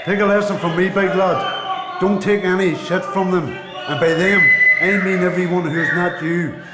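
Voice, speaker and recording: An actor with a Northern Irish accent playing a hard, defiant rebel prisoner in a radio play. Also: male voice, high-pitched, fairly even intonation, measured pace, very noisy. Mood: hard, urgent. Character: defiant, hard male rebel prisoner giving gritty advice. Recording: echoey room with loud music.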